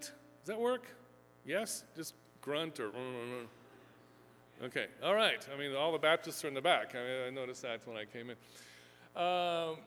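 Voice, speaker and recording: A man's voice: high-pitched, bright, forceful tone. A man giving a rambling, filler-heavy lecture to a live audience from a fixed lectern mic, self-deprecating and chatty. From Houston, Texas, USA. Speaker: seminary professor giving a talk. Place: lecture hall. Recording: echoey hall with faint hum.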